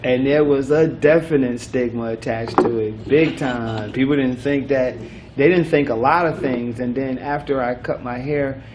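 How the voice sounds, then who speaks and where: A man's voice: mid-range voice, normal speed, noisy background. A man hosting a local business talk show, talking in a loose, rambling way with many restarts. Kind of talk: casual interview chat.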